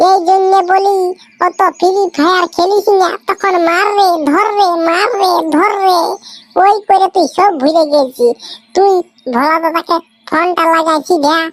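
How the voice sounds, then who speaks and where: A performer voicing an excitable, nagging teenage schoolboy in a comic cartoon, with insistent rustic slang and a Purulia accent from West Bengal. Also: extremely high pitch, normal speed, no echo.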